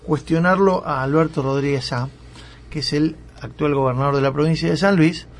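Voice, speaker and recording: A man's voice: fairly high pitch, moderate pace. A man with an Argentine accent hosting a radio interview, probing and recapping facts with his guest. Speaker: radio host.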